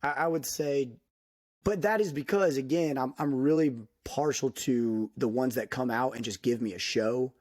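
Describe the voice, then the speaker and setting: A man giving his opinions in a casual podcast chat, animated, laughing often and saying "dude" a lot. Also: medium pitch, normal speed, dry recording, studio quality. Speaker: podcast guest.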